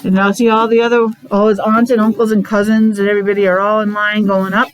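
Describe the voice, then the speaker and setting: A woman, a teacher reading a picture book aloud to young children, pausing to explain and ask questions in simple words. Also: mid-range voice, level tone, slight background noise, poor audio. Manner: child-directed, asking questions, repeating words for emphasis. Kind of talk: animated read-aloud with casual explanatory asides.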